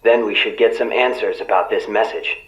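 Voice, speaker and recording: An actor playing a calm, serious young man, a loyal ally, in video game dialogue. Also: on the low side, brisk, close to an everyday voice. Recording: dull, roomy, slightly muffled sound.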